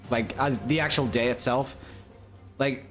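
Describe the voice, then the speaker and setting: A man's voice: medium pitch, unhurried, soft background music. A man in his twenties chatting casually with viewers on a live stream, rambling and joking at his own expense. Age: 20s. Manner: rambling, joking, self-deprecating, laughs often.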